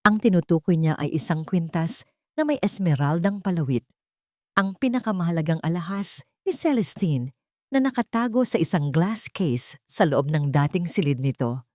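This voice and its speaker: A woman narrating a scripted drama story in an expressive, suspenseful way. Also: on the low side, normal speed, no echo, studio quality.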